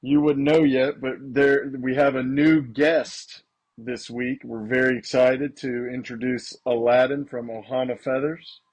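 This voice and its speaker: A man co-hosting a bird podcast, chatty and quick with questions for his guest. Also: medium pitch, little pitch movement, rough recording. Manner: chatty, asks questions, frequent restarts. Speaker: podcast co-host. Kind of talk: casual chat.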